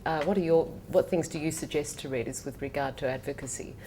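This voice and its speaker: A woman's voice: low voice, quick pace, warm tone, slight background noise. A woman moderating a panel, asking questions with some hesitation.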